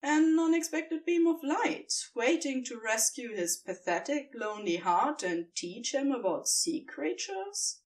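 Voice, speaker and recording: A woman narrating fan fiction as an expressive scripted audiobook reading. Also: high-pitched, unhurried, hardly any pauses, recorded from a distance.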